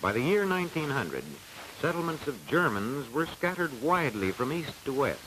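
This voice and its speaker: An American man reading scripted narration for a 1940s educational film in a formal, measured, declamatory way, on a hissy old soundtrack. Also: mid-range voice, very animated.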